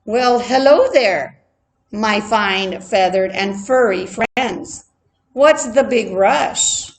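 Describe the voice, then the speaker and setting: A woman voicing a sly male coyote in a storybook, a falsely friendly trickster who coaxes smoothly. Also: medium pitch, extremely slow, background noise. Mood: sly, coaxing.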